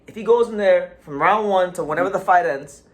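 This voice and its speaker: A man hosting a casual boxing talk show, talking in an animated way with frequent restarts and repeated words. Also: high voice, brisk. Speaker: boxing channel host.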